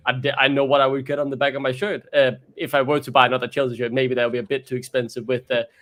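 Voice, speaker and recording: A man's voice: medium pitch, flat delivery, rapid-fire, a bit bright. A man, co-hosting a casual fan chat over a video call and rambling along in a chatty way. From Denmark. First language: Danish. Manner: rambling, chatty, repeats his opening words. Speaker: co-host.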